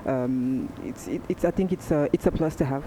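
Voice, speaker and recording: A woman from France speaking on a panel in a measured, hesitant way with frequent pauses. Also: rumbling low, mellow, a little hiss or hum, rough recording. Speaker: panel guest. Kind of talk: casual panel chat.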